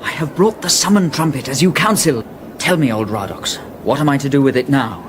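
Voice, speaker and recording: Man playing an earnest, animated young hero. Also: mid-range voice, fairly quick, background noise, close to an everyday voice. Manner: mock-heroic, formal, naive. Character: earnest, bewildered young hero, humble log poacher. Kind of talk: comic radio fantasy acting.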